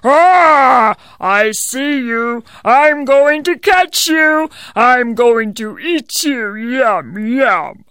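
A woman voicing a hungry, evil male giant who talks loudly and slowly, gloating over his meal. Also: fairly high pitch, expressive, clean tone, dry recording, studio quality, voice pushed up, full character voice. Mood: greedy, threatening. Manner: loud, slow, gloating growl.

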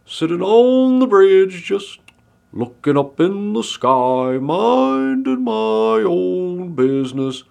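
Singsong, high-pitched greedy storybook pig. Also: male voice, very animated, creaky, a little mellow, heavily acted. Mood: feigned innocence. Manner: drawn-out words, feigned innocence. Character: greedy pig, lazily singsong, bursting into gleeful shouts.

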